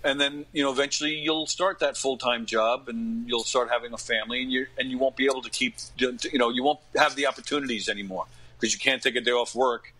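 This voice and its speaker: A middle-aged man with a bright, forward voice at an ordinary male pitch. He talks casually as a podcast guest, in an earnest, plain-spoken way, circling back to repeat phrases for emphasis. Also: fairly even intonation, fast, rough recording. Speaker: podcast guest, actor and comedy radio host. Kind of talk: casual interview talk.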